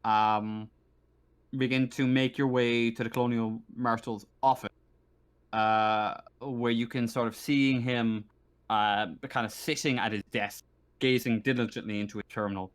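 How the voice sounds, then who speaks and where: A man acting as game master, improvising narration for a tabletop game and often pausing to search for words. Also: medium pitch, fairly even intonation, clear, resonant tone.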